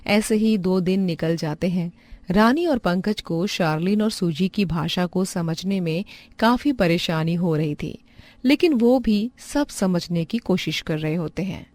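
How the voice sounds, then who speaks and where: A woman's voice: on the low side, a little slow, darkish tone, no echo. A woman narrating a scripted bedtime moral story as storyteller.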